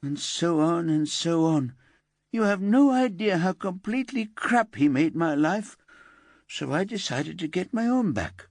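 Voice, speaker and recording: A man's voice: fairly high pitch, lively intonation, a little slow, darkish tone, no room sound, voice pushed up. A man voicing a resentful teenage girl who whines and turns tearful. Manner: whiny and indignant, rising to wailing.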